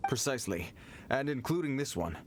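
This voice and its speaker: A mid-pitched male patrol guard, voiced by an actor for a video game. He sounds formal, cautious and earnest, a little awkward and halting, over a faint noisy background. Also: expressive, close to an everyday voice. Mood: stern warning. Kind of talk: scripted video game voice acting.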